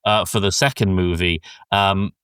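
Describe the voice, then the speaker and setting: Lowish-voiced man in casual podcast chat. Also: steady tone, normal speed, fairly bright tone, smooth, no echo, studio quality. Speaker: podcast co-host. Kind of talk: casual film recap and opinion chat.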